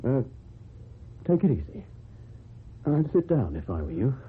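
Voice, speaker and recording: An actor playing a polite, matter-of-fact police constable in a radio drama, formal and stiffly official. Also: male voice, medium pitch, very animated, measured pace, a little hiss or hum. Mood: official, measured. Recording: muffled, tinny old radio recording.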